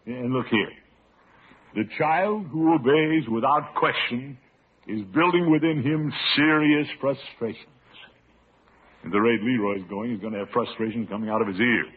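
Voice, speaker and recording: A man's voice: medium pitch, moderate pace, slightly rough, natural voice. A man playing a blustery, fretful, pompous middle-aged uncle in an old radio comedy, with theatrical exclamations. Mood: exasperated, blustering. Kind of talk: 1940s-50s radio comedy acting. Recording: tinny, noisy old radio broadcast.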